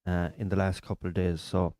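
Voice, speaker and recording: A man's voice: deep voice, little pitch movement, fairly quick, warm tone, no room sound. An Irish man hosting a live stream from a small studio, chatting and telling stories with local slang. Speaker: comedian and podcast host. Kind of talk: casual chat on a live stream.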